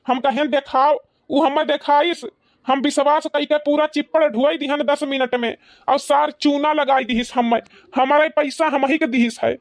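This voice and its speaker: A woman playing an excitable, boastful old village uncle in a comic cartoon, with an Awadhi accent and theatrical grumbling. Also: high voice, normal speed, no room sound, clean studio sound. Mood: indignant grumbling.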